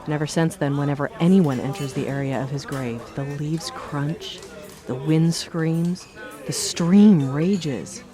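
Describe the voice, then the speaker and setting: An actor playing a small-town bartender in a radio play, recounting a local legend in a measured, ominous manner. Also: medium pitch, over music, lightly acted. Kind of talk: dramatic storytelling in a radio play.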